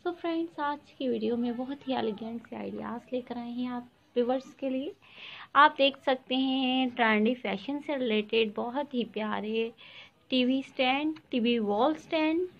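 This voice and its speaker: A woman hosting her home decor channel, narrating a slideshow and blessing her viewers warmly. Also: fairly high pitch, unhurried, vocal fry, lo-fi sound. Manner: warm blessings and friendly appeals to viewers. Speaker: YouTube channel host. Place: voice-over, not in the pictured rooms.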